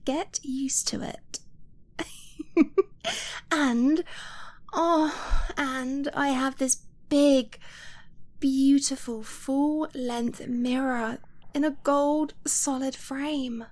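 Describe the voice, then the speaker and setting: A woman voicing an affectionate young dragon-blooded girlfriend in an ASMR romance roleplay, soft and breathy, often near a whisper, tender and confiding. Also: high voice. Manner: tender, unhurried, giggly, confiding.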